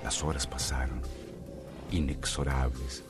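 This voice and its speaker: A man narrating a radio mystery tale in a measured, dramatic way. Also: extremely low pitch, fairly even intonation. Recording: music bed under the voice.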